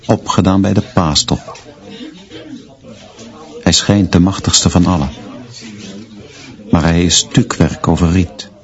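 An actor playing a brooding schoolteacher, narrating in measured, deliberate short phrases in a literary radio drama. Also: male voice, sits low. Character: brooding male schoolteacher narrating his observations of pupils. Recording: dull, muffled sound.

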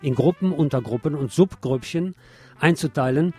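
A man's voice: on the high side, normal speed, mellow, husky. A man presenting scripted news as a radio host, adding sardonic, opinionated commentary of his own. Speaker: radio show host reading local news. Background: music with guitar.

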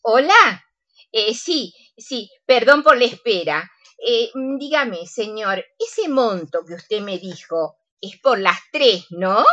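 A woman's voice: a little high, animated, takes it slowly, a bit bright, muffled recording. An actress playing a flustered, giddy older woman with an Argentine accent in a comic radio play. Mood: nervous, eager. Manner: hesitant, flustered, polite. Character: giddy, daring older woman, nervous and tipsy.

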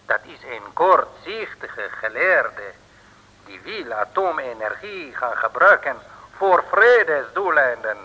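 Radio-play villain: cold, measured secret agent with a bright, forceful, husky voice. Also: male voice, medium pitch, big pitch swings, heavily acted. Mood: pompous, menacing. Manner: slow, measured, pompous pronouncements. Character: sinister male secret agent, coldly menacing villain. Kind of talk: 1950s Dutch radio play acting. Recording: old radio recording with long reverb.

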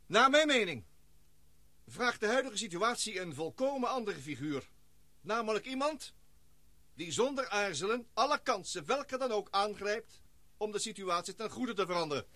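Guy voicing a formal science expedition leader, measured speechy radio play delivery, high and sharp. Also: animated, dry recording.

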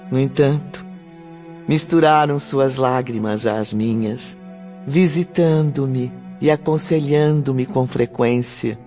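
A man performs a sorrowful male narrator for a radio drama. He speaks slowly and solemnly. The audio is muffled, with faint music underneath. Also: mid-range voice, gravelly, warm tone. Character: sorrowful male spirit narrator recalling his suffering.

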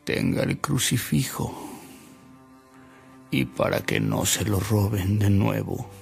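An actor playing a man who recounts anecdotes in a radio drama, with an unhurried, theatrical delivery. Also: lowish voice, creaky, full character voice. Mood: reverent storytelling. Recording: music bed under the voice.

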